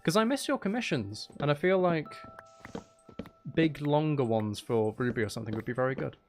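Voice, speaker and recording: A man's voice: mid-range voice, lively intonation, moderate pace, soft background music. A man live streaming in a casual, chatty way, with dry, teasing humour while he plays a game.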